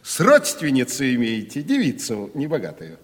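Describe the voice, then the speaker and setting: An actor playing a crafty, uneducated provincial timber merchant in a Russian radio play, wheedling and mock-humble in a folksy way. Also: male voice, mid-range voice, huge pitch swings, moderate pace, husky. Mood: folksy, ingratiating. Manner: wheedling, mock-humble, colloquial peasant turns. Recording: reverberant 1970s radio play recording.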